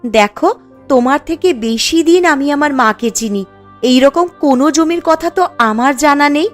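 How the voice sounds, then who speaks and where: Woman playing a high-voiced, caring village wife. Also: normal speed, natural voice. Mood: doubtful, reasoning. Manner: reassuring, chatty, earnest. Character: caring, sensible young village wife and aunt. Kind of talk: cartoon story dialogue. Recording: music under the voice.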